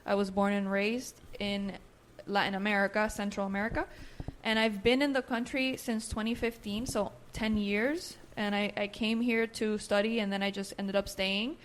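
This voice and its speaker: A young woman, raised in Nicaragua with Spanish as her first language, co-hosts a podcast as a therapist. Her pitch is ordinary for a woman, and she talks in an easy conversational flow, often dropping in "you know" and "right". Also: flat delivery, moderate pace. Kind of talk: casual chat.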